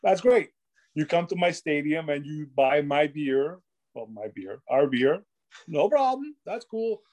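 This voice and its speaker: Guy on a sports panel going off, loud and emphatic, swears a lot. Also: a little high, expressive, unhurried. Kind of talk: casual sports chat.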